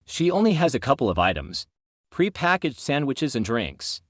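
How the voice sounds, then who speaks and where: Male narrator for scripted stories, animated intonation, dry sound, plays out quoted lines. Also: medium pitch, normal speed, dry recording. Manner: acts out quoted dialogue. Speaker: channel host narrator reading stories.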